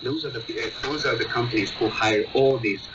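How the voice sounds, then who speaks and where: A man reporter giving halting commentary as a guest in a radio interview, often repeating and rephrasing. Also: mid-range voice. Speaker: news reporter as panel guest. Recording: muffled shortwave radio, heavy static, distant. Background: loud shortwave static.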